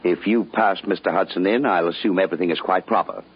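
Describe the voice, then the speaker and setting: An actor playing a dignified, dryly skeptical American government statesman, formal and brisk, in a 1950s radio drama. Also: low voice, steady tone, slightly rough. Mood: skeptical, official. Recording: dull vintage radio recording.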